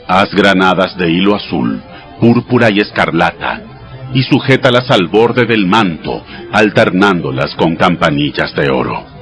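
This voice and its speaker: A deep, solemn God giving instructions. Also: male voice, fairly bright tone. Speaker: Bible audio drama voice actor. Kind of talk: dramatized scripture reading. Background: music behind the voice.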